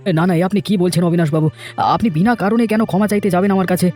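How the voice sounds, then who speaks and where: A man acts a hot-tempered village garden owner in a fairy tale cartoon. The voice is high and very fast, with emphatic phrasing over faint music. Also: a little mellow, lightly acted. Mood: respectful, puzzled.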